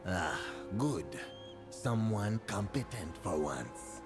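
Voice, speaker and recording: A man voicing a proud, ambitious young troll prince in a video game, with a grandiose, deliberate way of speaking. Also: fairly low pitch, lively intonation. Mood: curt. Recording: music behind the voice.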